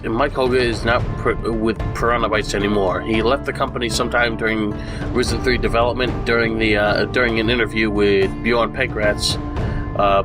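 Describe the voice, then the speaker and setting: A slightly low-voiced man, stuffy and congested after being sick, talks through a casual news update for his channel. He rambles, stopping often on fillers and restarting his sentences. Music plays clearly behind him the whole time. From United States. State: congested, recovering from illness. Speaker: gaming YouTuber.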